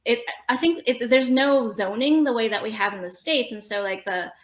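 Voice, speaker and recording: A woman's voice: fairly high pitch, normal speed. Chatty young woman in a roomy-sounding room. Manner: chatty, explanatory, frequent fillers and laughs. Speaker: podcast guest. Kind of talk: casual conversational interview. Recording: roomy echo.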